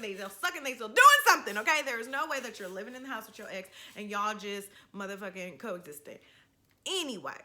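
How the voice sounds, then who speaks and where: A woman hosting a storytime vlog, telling a gossipy story in a casual, animated, slangy way with swearing and frequent check-ins to the listener. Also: sits high. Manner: checks in with "right?" and "you know". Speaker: storytime video host.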